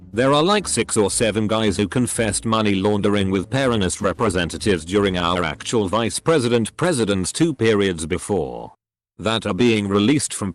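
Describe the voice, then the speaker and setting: A man with an English accent narrates a string of online posts in a measured, even-paced read. His voice sits on the low side but moves a lot in pitch from phrase to phrase. Soft background music runs faintly beneath him. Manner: reads one post after another in a steady, measured delivery. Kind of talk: scripted narration.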